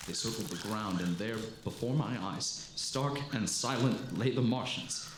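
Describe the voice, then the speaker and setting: A man performs a solemn lone survivor, a learned professor, telling his tale in a vintage radio drama. His voice is on the low side and his delivery is grave and literary. The room rings with echo over faint noise. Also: natural voice. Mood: awed. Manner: grave, deliberate, literary storytelling. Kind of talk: 1930s radio drama narration, monologue. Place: live performance before an audience in a hall. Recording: echoey live stage, faint noise.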